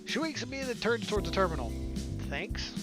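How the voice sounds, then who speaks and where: A man's voice: high-pitched, big pitch swings, fast talker. A young man streaming a visual novel, reading its narration aloud with casual, joking asides. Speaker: let's play streamer. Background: game music.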